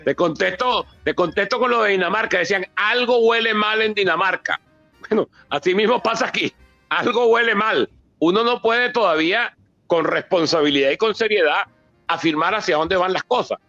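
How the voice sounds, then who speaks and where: A man with a Venezuelan accent speaks in a high, bright and forceful voice. He gives impromptu political commentary in an emphatic, oratorical way, stacking up repetitions. The sound is dry. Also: moderate pace, no echo, lo-fi sound, faint music behind. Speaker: political analyst and author speaking at a book presentation.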